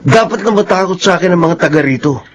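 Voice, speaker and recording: An actor playing a burly, boastful, threatening husband and street extortionist in a Filipino-accented radio drama. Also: male voice, high voice, expressive, fast. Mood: angry, threatening. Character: tall, burly, bullying husband and swaggering neighbourhood extortionist. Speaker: radio drama actor and first-person narrator. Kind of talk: Philippine radio drama acting.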